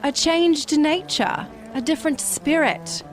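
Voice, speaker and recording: Woman as a high-voiced, sorrowful young fiancée. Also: a little slow, faint music behind. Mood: hurt, resigned. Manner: earnest, sorrowful. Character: hurt, resolute young fiancée of modest means. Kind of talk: theatrical audio drama acting.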